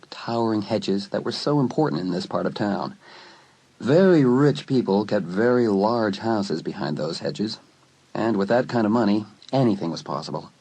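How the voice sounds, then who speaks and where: A man narrating a dramatised teen horror story in an expressive, dramatic storytelling manner. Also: lowish voice, rough recording. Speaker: audiobook narrator.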